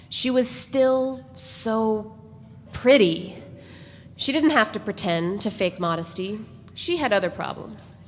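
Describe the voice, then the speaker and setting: A woman narrating literary fiction in a live dramatic reading, measured and deliberate with dramatic pauses. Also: mid-range voice. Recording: reverberant hall, faint noise.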